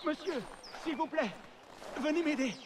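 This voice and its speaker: Game role: aggrieved farmer begging for help, very high-pitched, heavy noise behind. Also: male voice, normal speed. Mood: distressed pleading. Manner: pleading, aggrieved. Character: harassed farmer pleading for help with his harvest. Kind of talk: video game dialogue.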